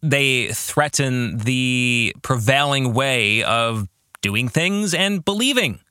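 A man's voice: medium pitch, lively intonation, slow pace, sharp tone, smooth, dry recording, studio quality. An American man, middle-aged, hosting a reflective book discussion podcast as a pastor, posing thoughtful questions to the listener.

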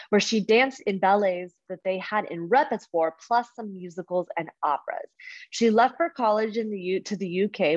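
A woman's voice: mid-range voice, darkish tone, no room sound, muffled recording. A woman hosts an interview show with an upbeat, enthusiastic manner. Her voice sits in a middle register and sounds dry and close. She moves from a scripted introduction into easy conversation. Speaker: podcast host.

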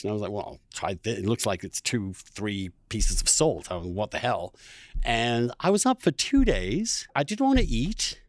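Animated male podcast host, very dry sound. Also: medium pitch, no room sound. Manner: animated, emphatic, confessional. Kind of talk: candid, conversational interview talk.

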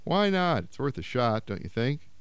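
A man's voice: mid-range voice, very animated, normal speed, smooth, dry recording, voice pushed up. A man reading a story, voicing a cocky, fearless young man in a slightly lifted voice.

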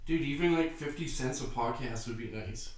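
A man's voice: medium pitch, moderate pace, slight background noise. Distant man in a very echoey room. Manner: crude, joking. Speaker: podcast co-host. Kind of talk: casual chat.

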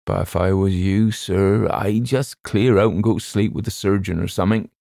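A man's voice: sits low, steady tone, moderate pace, vocal fry, mellow, dry recording, clean studio sound. Man acting a nervy ship's steward. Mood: nervous, insistent. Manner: faltering, deferential, colloquial. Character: nervous Cockney ship's steward, servile, cheekily insistent.

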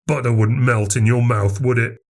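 A man voicing a sullen, spiteful boy in an audiobook, with a gravelly, grumbly, contemptuous snarl. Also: on the low side, expressive, normal speed. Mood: spiteful, snide. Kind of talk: audiobook character voice.

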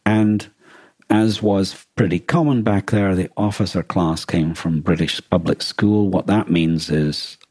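A man co-hosting a podcast, explaining things in a casual, halting discussion as he thinks aloud. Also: low-pitched, steady tone, mellow, dry recording. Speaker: podcast co-host. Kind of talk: casual discussion of a radio drama.

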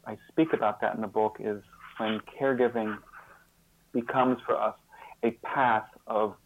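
A man speaking as an interview guest, explaining his ideas in a reflective, earnest way, often halting and repeating words. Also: fairly low pitch, steady tone, a little hiss or hum. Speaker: interview guest and author on caregiving. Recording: slightly phone-like, band-limited line.

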